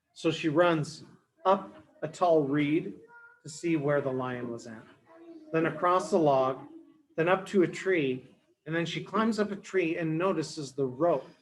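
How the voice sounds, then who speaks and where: A man telling a story to young children as a teacher, explaining things simply with dramatic pauses. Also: a little high, poor audio. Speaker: storyteller, early education teacher.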